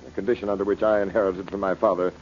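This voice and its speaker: An actor playing a bitter, commanding rich uncle in an old-time radio drama, with cold sardonic delivery. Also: male voice, low-pitched, flat delivery, very fast, a little mellow, close to an everyday voice. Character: rich, bitter, imperious uncle taunting his heirs. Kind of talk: 1940s radio acting. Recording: muffled, hissy old radio recording.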